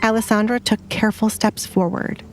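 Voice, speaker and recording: A woman's voice: mid-range voice, fairly even intonation, normal speed, a little hiss or hum. A woman reading scripted story narration as the narrator of an audio drama.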